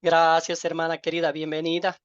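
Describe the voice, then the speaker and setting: A middle-aged man with an Ecuadorian accent, a pastor informally welcoming an online class in a halting way, repeating words and using fond diminutives. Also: on the high side, monotone, no room sound. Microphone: online group call microphone. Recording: dull lo-fi online call audio.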